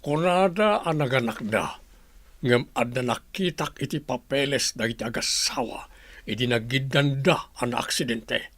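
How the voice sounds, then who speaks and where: A man's voice: mid-range voice, measured pace, a bit bright, dry recording. A man acting a bossy, scornful employer in a Filipino radio drama, with a brusque, demanding manner. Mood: serious.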